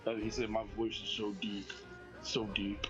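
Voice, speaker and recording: A man's voice: fairly low pitch, little pitch movement, moderate pace, over music. A man joining a game stream over a voice call, teasing and ribbing the host in casual banter. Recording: muffled voice-call audio.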